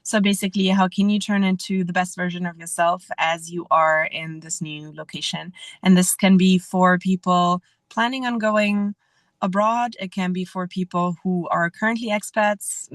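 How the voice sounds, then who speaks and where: A woman speaking on a video call as an event speaker, hesitant and halting at times. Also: on the low side, steady tone, no room sound.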